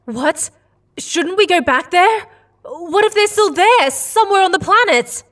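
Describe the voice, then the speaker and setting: An actress playing an anxious, observant space crew member with an excitable, insistent manner. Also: female voice, very high-pitched, a little slow, full character voice. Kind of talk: audio drama acting.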